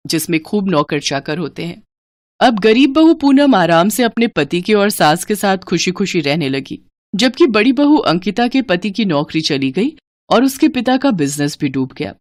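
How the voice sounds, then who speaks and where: A woman's voice: lowish voice, a little mellow, no room sound, clean studio sound. A woman narrating a scripted moral story for children as the storyteller.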